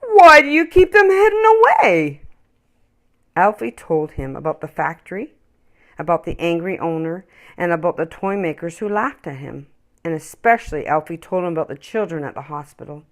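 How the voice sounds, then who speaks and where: A woman reading a children's story aloud with expressive, emphatic, dramatic phrasing. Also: sits low, fairly quick.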